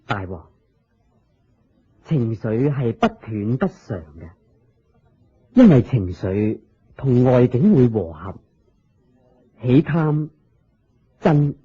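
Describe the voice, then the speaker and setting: Serene teaching Buddha, Hong Kong Cantonese, husky, widely varied intonation, measured sermon-like phrasing, dull radio drama recording. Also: male voice, mid-range voice, sing-song, mellow, heavily acted. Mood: solemn, instructive. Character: serene, wise Buddha patiently teaching a king.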